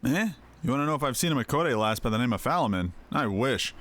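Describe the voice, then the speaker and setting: A man's voice: medium pitch, animated, fast, a bit bright, close to an everyday voice. A man voicing a coarse, lonely working man in a fantasy game, blunt and colloquial. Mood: grumbling, sheepish.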